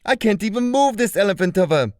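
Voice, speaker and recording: A man voicing a panicky, indignant informant who snaps and stammers in a very high voice. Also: expressive, fast, darkish tone, no echo, studio quality. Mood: agitated. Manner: high-pitched, snapping, stammering.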